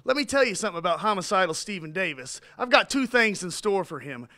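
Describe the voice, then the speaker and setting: A man's voice: sits high, animated, fast, sharp tone. A man playing a goofy stooge-style wrestler who taunts with nyah-nyahs, whoops and a silly song in a promo interview. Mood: boastful. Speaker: pro wrestler.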